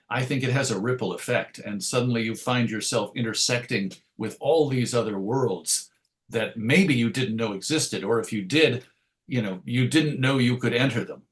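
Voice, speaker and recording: A middle-aged American man telling stories as an interview guest, discursive, self-deprecating and enthusiastic about his subject. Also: mid-range voice. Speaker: interview guest, radio show host. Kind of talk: reflective conversational interview storytelling.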